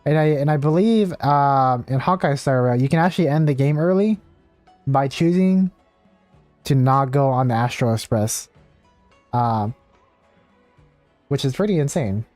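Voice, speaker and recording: A man's voice: medium pitch, unhurried, pauses often, a little mellow, no room sound. A man livestreaming a game, chatting casually with viewers in a rambling, hesitant way. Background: faint game music.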